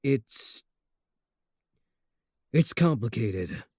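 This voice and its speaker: A man's voice: medium pitch, expressive, drawn-out words, no room sound. A man playing a cocky, obsessive, easily offended werewolf in love, boasting earnestly and throwing dry sarcastic jabs in a comedic ASMR roleplay. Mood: defensive.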